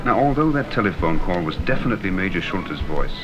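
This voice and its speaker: An actor playing a confident, commanding secret agent hero in a 1960s radio drama, brisk and decisive as he explains things. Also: low-pitched, sing-song. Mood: serious, explanatory. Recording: dull 1960s radio recording with music.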